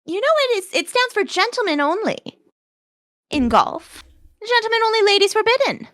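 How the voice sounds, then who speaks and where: A vain, pushy young debutante, as a woman puts her on, speaks in prim, mock-formal phrases. Her voice sits high and swoops dramatically. The sound is close and dry. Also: big pitch swings, moderate pace, a bit bright, no room sound, full character voice. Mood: teasing.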